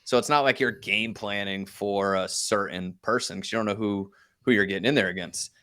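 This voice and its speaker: A man with a bright, forward voice on the low side, chatting as a podcast guest. He tells stories casually and jokes at his own expense. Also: brisk. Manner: self-deprecating, joking. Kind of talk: casual conversational storytelling.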